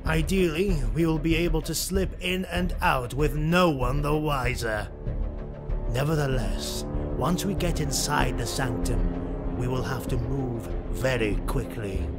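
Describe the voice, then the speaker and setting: A man voicing a cold, commanding, vengeful elf lord with an imperious, forceful delivery, for an audiobook. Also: medium pitch, measured pace, loud music behind the voice, natural voice.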